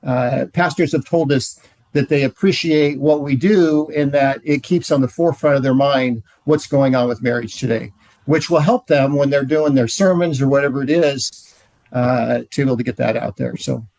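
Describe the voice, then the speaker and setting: A man gives an informal presentation in a mid-pitched voice with very little room sound. He is halting, often doubling back to repeat a phrase. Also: gravelly, darkish tone, dry recording, poor audio. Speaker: presenter in marriage ministry.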